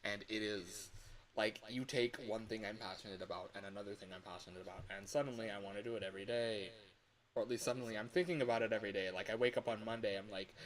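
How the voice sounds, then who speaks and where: A man talking casually as a livestreamer and game developer, rambling and breaking off his own sentences with animated asides. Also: fairly low pitch, fast, crowd noise, low-quality recording.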